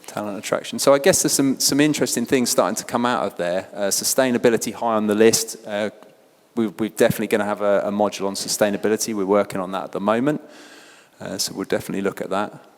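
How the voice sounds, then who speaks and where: A man's voice: fairly low pitch, fairly even intonation, fast, in a large hall. A man presenting at a conference, talking loosely and chattily as he reads out audience poll answers. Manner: loose and chatty, with many fillers and restarts. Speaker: presenter at an event.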